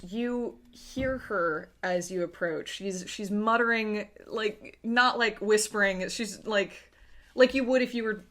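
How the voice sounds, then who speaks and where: A woman narrating a tabletop game as game master, conversational and pausing to search for words. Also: mid-range voice, a bit bright.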